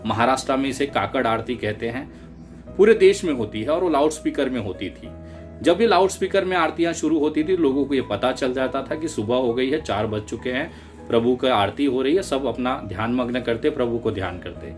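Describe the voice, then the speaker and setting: A man's voice: medium pitch, expressive, brisk. A man giving political commentary straight to viewers, in an emphatic, indignant and rhetorical manner. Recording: music bed under the voice. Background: background music.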